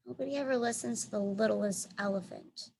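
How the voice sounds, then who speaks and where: A woman's voice: on the high side, fairly quick, vocal fry, darkish tone, a little hiss or hum, poor audio. A woman voicing an earnest, affectionate little elephant girl in a children's storybook. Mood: pleading. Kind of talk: children's storybook read-aloud.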